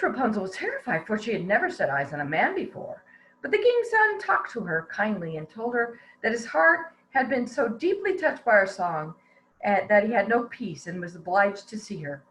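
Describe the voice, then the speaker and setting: A woman reading a fairy tale aloud to children in an expressive storytelling style. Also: medium pitch, normal speed, poor audio. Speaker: volunteer storybook reader.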